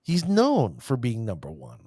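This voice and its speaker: A man hosting a casual podcast, playfully giving shout-outs to players and stumbling over their names. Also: mid-range voice, wildly swooping pitch, measured pace, breathy edge, no echo, clean studio sound. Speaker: podcast host.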